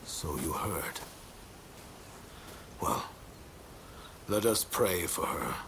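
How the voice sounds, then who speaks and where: An actor playing a proud, stern lord in a game cutscene, with a formal, commanding, deliberate manner. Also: male voice, deep voice, animated, gravelly, fairly bright tone, slight background noise, exaggerated voice. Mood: solemn, resolute. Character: proud, stern lord of duty and political ambition. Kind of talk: dramatic video game cutscene acting. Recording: music behind the voice.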